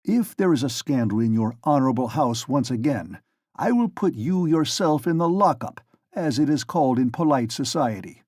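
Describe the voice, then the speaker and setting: A man voicing an enraged, pompous police official who shouts and blusters. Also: mid-range voice, lively intonation, normal speed, no room sound, studio quality, natural voice. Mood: scornful.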